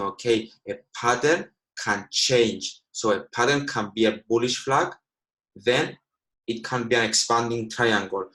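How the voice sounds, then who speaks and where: A man's voice: medium pitch, fairly bright tone, rough recording. Man in his twenties teaching. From Cyprus. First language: Greek. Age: 20s. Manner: fills with frequent "okay", slightly broken English. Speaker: trading educator. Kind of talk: live online teaching. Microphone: video call.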